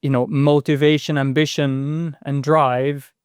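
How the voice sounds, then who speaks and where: A man's voice: fairly high pitch, level tone, a little slow, clean tone, no room sound, clean studio sound. A man hosting a podcast interview, talking casually and hesitantly with lots of fillers and tag questions. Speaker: podcast host.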